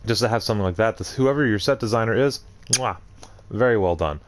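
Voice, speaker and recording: A man's voice: on the low side, fast. A man hosting a gaming video with casual let's play commentary.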